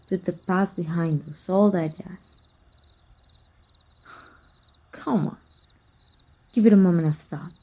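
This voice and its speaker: A woman playing a teasing, wistful and flirty female vampire hunter with a breathy, husky voice in an intimate ASMR roleplay. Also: on the low side. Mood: wry, coaxing. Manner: slow, teasing, softly taunting.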